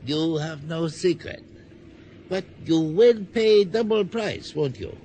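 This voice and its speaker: An actor playing a suspicious, grasping island supply trader in a radio drama, needling with short questions. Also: male voice, fairly high pitch, takes it slowly, warm tone, a little hiss or hum. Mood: needling, demanding.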